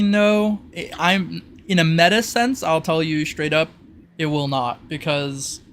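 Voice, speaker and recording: A man's voice: on the high side, fairly bright tone, slight background noise. A man speaking as a tabletop game master, explaining options in a casual, improvised way. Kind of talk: improvised narration of tabletop roleplay.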